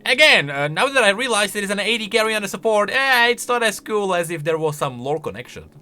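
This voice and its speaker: A man reacts casually as a game lore commentator, wry and full of opinions. His voice is bright and a little high, swinging widely in pitch as he talks. Faint music sits behind him. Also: very animated, fast.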